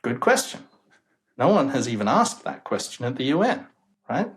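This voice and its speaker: A man's voice: medium pitch, very animated, moderate pace. A young man, a demographer guest in an interview, talking emphatically and sounding incredulous about the data, chuckling now and then. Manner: emphatic, incredulous, chuckles while making points. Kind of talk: casual interview talk.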